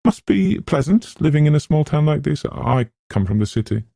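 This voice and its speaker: A man's voice: a little high, sing-song, moderate pace, gravelly, mellow, no room sound, clean studio sound, pitched above the performer's own voice, exaggerated voice. A man voicing an anxious, chatty woman from the city in a novel, with tentative, polite manners. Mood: polite curiosity.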